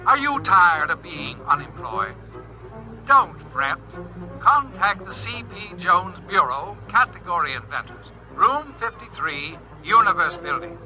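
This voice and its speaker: Radio sci-fi: pushy ad machine, high-pitched announcer sell, unhurried and swooping. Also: male voice, big pitch swings, poor audio. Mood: hard-selling. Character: pushy talking robotic street advertisement. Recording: old radio recording, faint music.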